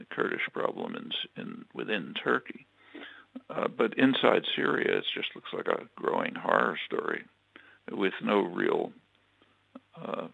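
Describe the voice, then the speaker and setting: A man giving a political analysis in an interview, talking in a halting way with many fillers and restarts. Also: low voice, creaky, no room sound, narrow, tinny audio. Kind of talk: measured spoken analysis, answering questions.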